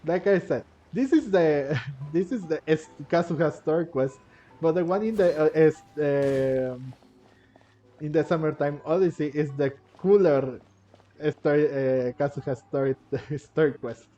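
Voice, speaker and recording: A man's voice: a little high, unhurried, warm tone. A man giving casual gameplay commentary, hesitant and rambling, often repeating his words, over game music. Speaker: gamer commenting on play. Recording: muffled audio, faint game music. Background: faint game music.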